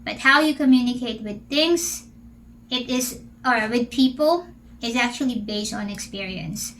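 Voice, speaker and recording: A Filipino woman who speaks Filipino natively hosts a casual Q&A vlog as an English teacher. She is chatty, keeps asking questions, and speaks in a high, bright voice. She takes her time and often stretches out her words. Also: measured pace, a little hiss or hum. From Philippines. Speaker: English teacher and channel host.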